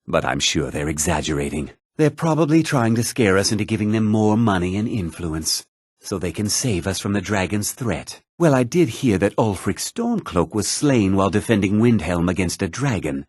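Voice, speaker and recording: Haughty fantasy game townsman, husky, very expressive intonation, gossipy, articulate, theatrical delivery. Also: on the low side, normal speed, no room sound, studio quality. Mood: polite, wry. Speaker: video game voice actor. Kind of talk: scripted fantasy game character dialogue.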